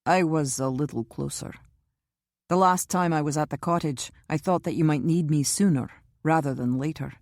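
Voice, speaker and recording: A steady, protective man, voiced by a woman narrator who sits low and mellow in tone. His speech is measured and reassuring and turns grimly insistent when pressed, and the recording is dry and close. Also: no room sound. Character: calm, protective older man, steady and wry.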